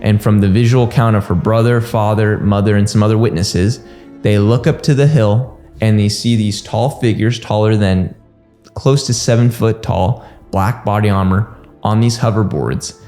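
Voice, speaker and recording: A man in his twenties recounting a story informally to camera in an earnest, deliberate way, recorded indoors. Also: fairly low pitch, fairly even intonation, dark tone. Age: 20s. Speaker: host of a documentary channel. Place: small indoor room at home. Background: background music.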